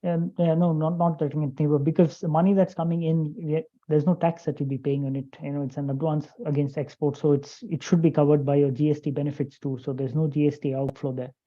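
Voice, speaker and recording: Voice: medium pitch, flat delivery, fast, hardly any pauses, dark tone. Compliance expert on a dull call line. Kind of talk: explaining compliance on a webinar. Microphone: video call.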